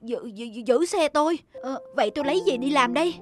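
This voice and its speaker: Woman voicing a high, anxious pleading delivery man. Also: lively intonation, a little fast. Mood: desperate. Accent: northern Vietnamese. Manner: apologetic, stammering, imploring. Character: anxious, pleading middle-aged male pizza delivery driver. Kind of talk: cartoon dubbing. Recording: music under the dialogue.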